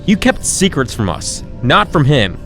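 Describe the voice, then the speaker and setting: A man acts a young crime-fighter in a superhero radio play. He is outspoken and challenging, with a mid-pitched, very animated delivery over faint music. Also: measured pace. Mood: accusing. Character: challenging, outspoken young male hero, confronting his mentor. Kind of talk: amateur superhero radio drama acting.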